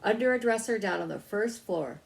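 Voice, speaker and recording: A woman's voice: mid-range voice, animated, moderate pace, gravelly, pitched above the performer's own voice. A woman voicing an eager young boy mouse who brags a little, in a storybook reading. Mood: offhand, modest. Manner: eager, bragging, offhand.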